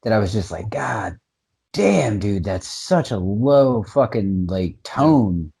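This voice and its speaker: Husky low guy cohosting a podcast, swings all over in pitch, cusses nonstop. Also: takes it slowly. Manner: excitable, swears constantly, laughs a lot. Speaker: podcast co-host. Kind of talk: casual profane chat.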